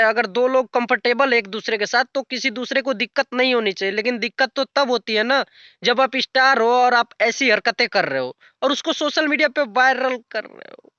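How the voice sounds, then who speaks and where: A man's voice: very high voice, sharp tone, clean tone, dry recording, dull audio. A young man giving an unscripted, casual commentary rant in a blunt, colloquial way with crude slang. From rural north India. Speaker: YouTube commentator.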